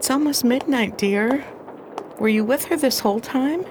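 A woman playing a composed, proper preacher's wife in a radio drama, practical and gently firm. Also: high-pitched, normal speed, lightly acted. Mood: concerned, firm. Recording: faint background noise.

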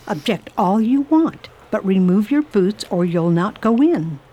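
A woman's voice: mid-range voice, expressive, warm tone. Woman as a firm lady traveler. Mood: brisk, commanding. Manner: brisk, commanding. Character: firm, brave lady traveler nursing a patient. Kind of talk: radio drama acting.

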